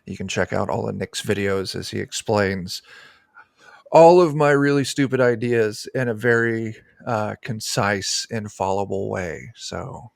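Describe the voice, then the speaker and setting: A man's voice: on the low side, measured pace, vocal fry, no room sound. A man in his thirties or forties, a game designer, explaining casually as an interview guest into a close microphone. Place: indoor room, video call. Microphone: close desk microphone.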